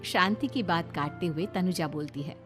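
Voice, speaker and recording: A woman's voice: lowish voice, moderate pace, faint music behind. A woman narrating a scripted cartoon story as its storyteller.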